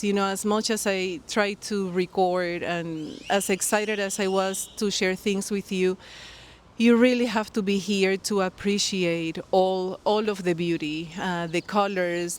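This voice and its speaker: A knitting podcast host from Colombia with a Colombian accent, chatting casually to the camera outdoors by a pool, with friendly rambling talk full of fillers and restarts. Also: female voice, medium pitch, steady tone, unhurried. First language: Spanish. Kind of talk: casual vlog chat to camera. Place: outdoors by a pool near the beach. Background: birds and people nearby.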